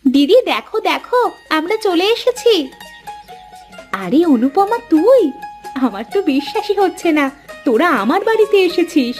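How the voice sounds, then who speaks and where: A woman acts an affectionate village sister from a cartoon. Her voice is high and very animated, homely and full of exclamation, with faint music underneath. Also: normal speed, long pauses. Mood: excited, welcoming. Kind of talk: cartoon voice acting.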